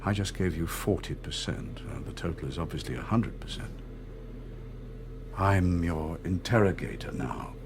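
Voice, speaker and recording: A middle-aged man acting a cold, controlled interrogator in a radio drama, measured, didactic and condescending. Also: low voice, background noise. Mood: cold, threatening. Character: cold, controlled party interrogator and torturer, patronising and menacing.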